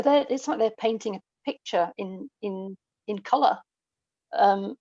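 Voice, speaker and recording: A woman chatting as an interview guest, thoughtful and halting, repeating words as she thinks. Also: on the high side, dark tone, no room sound, muffled recording. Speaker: podcast guest, audio dramatist. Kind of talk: casual conversational interview.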